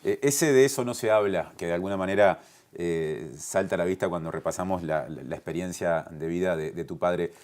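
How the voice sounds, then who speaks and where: A man with a Uruguayan Spanish accent, interviewing for a television news show in a measured, probing way with hesitant phrasing. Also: sits low, a little mellow.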